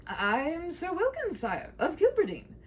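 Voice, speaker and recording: A woman's voice: very high-pitched, wildly swooping pitch, unhurried, a little hiss or hum, voice pushed up, heavily acted. A woman voicing a modest, courteous young knight in a humorous fairy tale, formal and earnest, somewhat bewildered.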